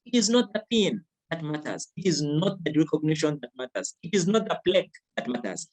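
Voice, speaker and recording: A man's voice: fairly high pitch, a little mellow, rough recording. A man with a Ugandan accent giving a motivational speech over a video call, repeating his phrases for emphasis. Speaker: club speaker.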